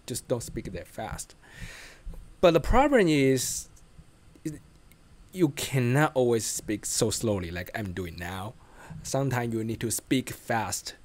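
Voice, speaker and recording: A man's voice: mid-range voice, lively intonation, darkish tone. A man in his thirties with a Chinese accent speaks casual English into a close studio mic in a small room, stopping often to restart his sentences. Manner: halting, many restarts and fillers. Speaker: vlogger. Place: small bright room indoors. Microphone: studio mic close in front.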